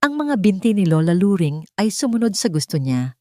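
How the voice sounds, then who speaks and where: A woman's voice: medium pitch, sing-song, brisk, darkish tone, no echo, clean studio sound. A woman narrating an audiobook in a dramatic, scripted storytelling style.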